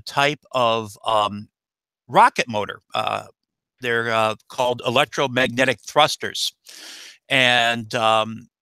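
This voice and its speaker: A middle-aged American man, a guest expert answering interview questions in a halting way, full of uh and um fillers. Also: medium pitch, sharp tone, smooth, no echo. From United States. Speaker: guest expert, nuclear engineer.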